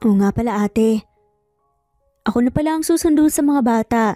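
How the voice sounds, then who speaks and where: Woman playing a firm, high-voiced lady lawyer. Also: normal speed, dark tone, no room sound, clean studio sound, faint music behind, close to an everyday voice. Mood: casual. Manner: emphatic, earnest.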